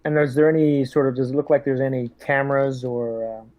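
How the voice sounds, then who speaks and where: A man's voice: medium pitch, steady tone, a little fast, a little mellow, no echo. Businesslike man giving orders in a game. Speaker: tabletop game player acting as squad leader. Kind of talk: casual in-character orders and questions.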